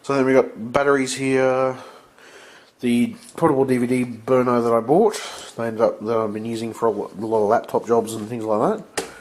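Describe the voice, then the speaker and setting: A man with blocked sinuses, talking off camera at a home workbench in a rambling, halting way that breaks into swearing when he is frustrated. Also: medium pitch, little pitch movement, darkish tone. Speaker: channel host. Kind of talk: casual vlog.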